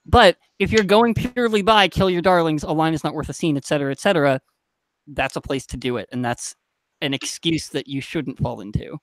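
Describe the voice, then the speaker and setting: Guy with a pretty high voice chatting on a panel, dry close sound, keeps restarting his thoughts. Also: no room sound, low-quality recording. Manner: halting, self-correcting, thinking aloud. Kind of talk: casual panel discussion.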